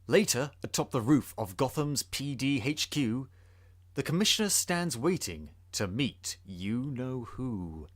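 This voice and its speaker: Animated, theatrical man reading verse. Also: medium pitch, measured pace. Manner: theatrical, suspenseful. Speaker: podcast host. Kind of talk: dramatic storybook reading of rhyming verse.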